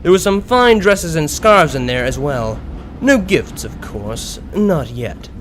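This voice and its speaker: A man reading a story aloud as an expressive narrator, in scripted prose. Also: a little high, takes it slowly, a little hiss or hum. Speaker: narrator of a fan fiction story. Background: background music.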